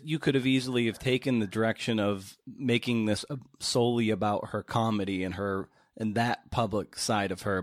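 Low-pitched man interviewing, dry sound. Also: dry recording. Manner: halting, searching for phrasing. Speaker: podcast host, interviewer. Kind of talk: conversational interview questions.

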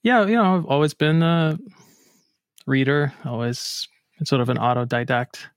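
A man from Iceland, a programmer guest, answering interview questions in a relaxed, hesitant way with many pauses. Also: medium pitch, no room sound, studio quality. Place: home near Boston.

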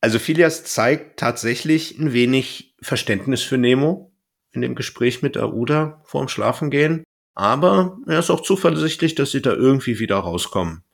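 A man's voice: mid-range voice, expressive, normal speed, vocal fry. A man co-hosting a podcast, retelling a story in casual chat with colloquial, jokey phrasing. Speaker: podcast co-host.

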